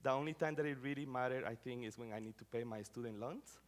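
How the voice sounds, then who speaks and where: A man's voice: mid-range voice, fast. Man giving a casual lecture. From Costa Rica. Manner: conversational, many fillers, non-native phrasing. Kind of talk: academic public talk.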